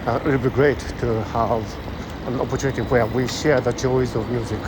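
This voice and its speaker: A man with a Japanese accent, thinking aloud in a halting way, full of fillers, while walking along a busy city street. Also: mid-range voice, steady tone. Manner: thoughtful, halting, many fillers. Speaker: vlogger. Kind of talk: musing monologue while walking. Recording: loud street traffic behind.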